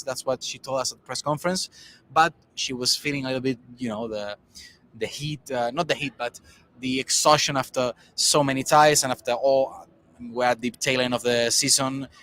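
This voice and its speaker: A man co-hosting a tennis podcast, talking through the day's results in a loose, rambling way. His voice sits at a middling pitch, and a faint ambient hum lingers behind him. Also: bright, forceful tone, low-quality recording. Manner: rambling, many restarts and fillers. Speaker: tennis podcast co-host reporting on site. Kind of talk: casual conversational analysis.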